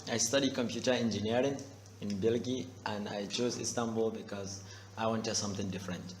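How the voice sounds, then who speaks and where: A man's voice: fairly low pitch, fairly even intonation, fairly quick, echoey room, a little hiss or hum. A young man student from Nigeria introducing himself plainly. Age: young adult. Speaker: university student.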